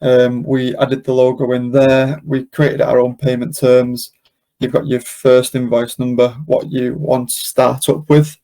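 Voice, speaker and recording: A man presenting an informal step-by-step software tutorial, explaining as he goes in an unscripted, hesitant way with frequent ums. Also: mid-range voice, little pitch movement, measured pace. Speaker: presenter of a software demonstration webinar.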